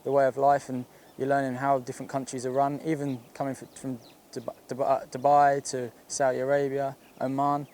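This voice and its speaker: A man talks in a flat, even voice, a golfer reflecting in an interview. He stumbles over some of his words. Faint noise sits behind him. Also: medium pitch, normal speed. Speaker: professional golfer.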